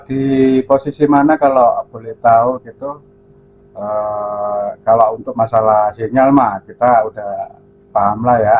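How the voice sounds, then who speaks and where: A man's voice: mid-range voice, phone-quality sound, a little hiss or hum. A man chatting casually as a ham radio operator over a repeater, with call signs and radio jargon.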